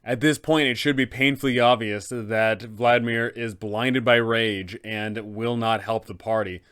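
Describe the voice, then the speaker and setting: A man's voice: mid-range voice, steady tone, measured pace, fairly bright tone, clear, resonant tone. A man hosting a game master guide, talking in an enthusiastic, casual way. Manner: enthusiastic, upbeat. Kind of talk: casual tutorial narration.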